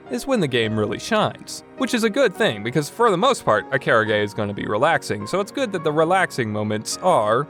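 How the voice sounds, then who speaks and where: Male podcast reviewer, mid-pitched and lively in intonation, conversational and opinionated, with music underneath. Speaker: podcast host reviewing visual novels. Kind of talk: semi-scripted review commentary. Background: background music.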